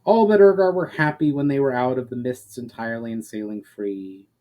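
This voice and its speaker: A man's voice: mid-range voice, brisk, dark tone, poor audio. Man narrating a fantasy story dramatically. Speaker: author reading his own story.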